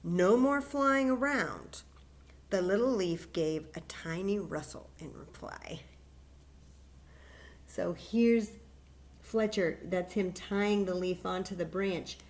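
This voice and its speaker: A woman reading a children's story aloud as a library story time host, expressive and chatting to young listeners with coaxing questions. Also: on the low side, slow pace.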